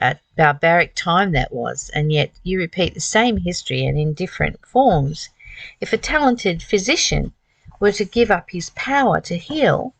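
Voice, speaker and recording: A woman's voice: fairly low pitch, dry recording, rough recording. A woman giving a spiritual talk as a teacher, reading and reflecting with rhetorical questions.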